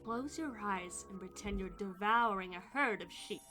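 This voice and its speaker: A woman's voice: mid-range voice, normal speed, over music, lightly acted. A woman playing a scheming, scornful young witch in a cartoon fandub.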